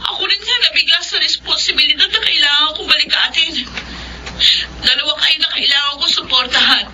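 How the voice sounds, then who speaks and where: High-voiced, anguished Filipino elder sister. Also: female voice, a little fast, pushed and bright, slight background noise, rough recording. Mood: tearful resolve. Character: self-sacrificing eldest sister working abroad, pleading and reproachful. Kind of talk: Filipino radio drama acting. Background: dramatic music.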